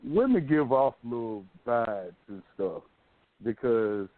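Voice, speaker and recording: A man's voice: medium pitch, lively intonation, takes it slowly, no echo. An American man hosting a casual call-in radio discussion, talking in a rambling, colloquial storytelling way. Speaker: radio show host. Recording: narrow phone-like sound.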